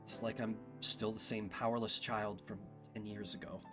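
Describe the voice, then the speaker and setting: A man voicing a haunted, earnest young male attorney in a visual novel, halting and apologetic. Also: on the low side, level tone, music in the background, pitched below the performer's own voice, lightly acted. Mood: sorrowful. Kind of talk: visual novel character dialogue.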